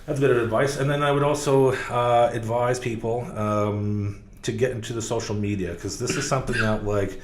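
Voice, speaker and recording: A man's voice: mid-range voice, steady tone, normal speed, few pauses. A man speaking as a podcast guest, talking casually with lots of fillers and restarts. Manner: rambling, many fillers and restarts. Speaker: podcast guest, car sales professional. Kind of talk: casual interview talk. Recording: echoey room, faint background noise.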